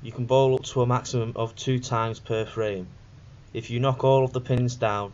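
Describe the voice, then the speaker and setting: A young man reads a scripted voice-over in a plain, instructional manner, with a slightly low voice. The recording is dull and lo-fi, with faint hiss behind him. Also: darkish tone. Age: young adult. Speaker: student documentary narrator.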